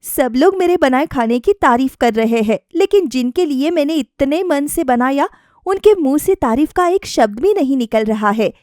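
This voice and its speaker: A woman's voice: sits high, expressive, normal speed, a little mellow, dry recording, clean studio sound. A woman voicing a wistful young daughter-in-law eager to please, earnest and plaintive, in a story. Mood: hurt, plaintive.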